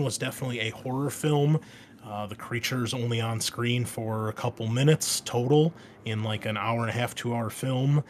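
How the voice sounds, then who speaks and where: A man hosting an informal introductory monologue, talking in an enthusiastic, conversational way as he explains things. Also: on the low side. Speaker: host and game master. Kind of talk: informal introductory monologue about a sci-fi franchise and tabletop game. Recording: clear background noise.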